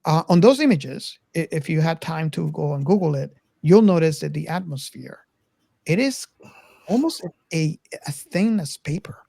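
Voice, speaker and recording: A woman hosting a casual discussion podcast, talking to the audience deliberately and repeating phrases for emphasis. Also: sits low, expressive, creaky, mellow, slightly rough, no echo. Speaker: podcast host. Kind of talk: casual discussion addressed to listeners.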